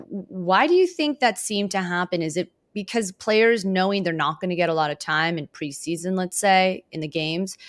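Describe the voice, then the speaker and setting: A woman with an American accent hosting a sports podcast, asking questions conversationally in a halting way and often rephrasing them. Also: fairly low pitch, fairly bright tone. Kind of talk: conversational interviewing.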